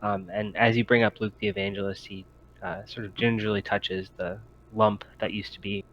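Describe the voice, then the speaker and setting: A man improvising narration as game master of a tabletop roleplaying game, in a halting manner. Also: on the low side, flat delivery, fairly bright tone, lo-fi sound, a little hiss or hum.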